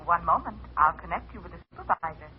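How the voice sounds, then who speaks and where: An actress playing a formal, by-the-book telephone operator in an old radio drama. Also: female voice, lowish voice, animated, fast, husky, phone-quality sound. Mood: formal, unbending. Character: prim, impersonal female switchboard operator. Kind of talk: vintage radio drama acting.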